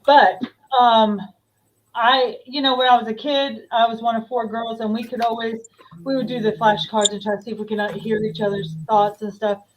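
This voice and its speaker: A woman's voice: on the high side, level tone, normal speed, slight background noise, low-quality recording. A woman from New Jersey, a paranormal investigator guest, telling stories earnestly in casual chat.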